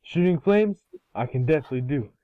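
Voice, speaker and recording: A man voicing a cocky, excitable boy hero with casual kid slang in a cartoon table read. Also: a little high, fast, no echo, phone-quality sound, natural voice. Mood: explaining. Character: cocky, excitable boy turned fire alien. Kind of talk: animated series table read.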